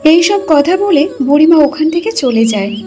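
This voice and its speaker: A woman's voice: high voice, lively intonation, moderate pace, dark tone. A woman narrating a scripted fairy tale for a children's cartoon.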